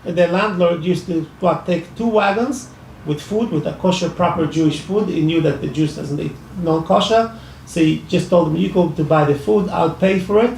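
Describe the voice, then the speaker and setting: Gravelly man with an Iraqi accent, echoey hall. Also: on the high side, a little mellow, a little hiss or hum. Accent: Iraqi Arabic. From Iraq. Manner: halting, many restarts and fillers. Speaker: speaker at a public discussion. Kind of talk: personal testimony at a talk. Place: library event room. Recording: echoey hall, mic from far off.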